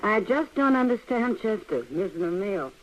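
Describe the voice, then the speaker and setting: An actress playing a frank, warm-hearted frontier woman in an old-time radio western. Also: mid-range voice, very animated, moderate pace, close to an everyday voice, rough recording. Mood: puzzled. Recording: old radio recording, faint noise.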